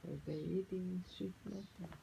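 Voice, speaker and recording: A man chatting casually as a companion to a poetry reader, offering brief, halting remarks. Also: high-pitched, fairly even intonation, creaky, mellow. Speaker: companion commenting on poems. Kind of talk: casual chat. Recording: noisy amateur phone recording.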